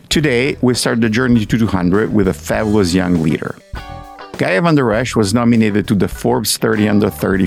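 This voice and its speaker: A man hosting a podcast, reading his introduction in a measured, deliberate way. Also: mid-range voice, vocal fry. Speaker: podcast host. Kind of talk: scripted podcast intro and interview.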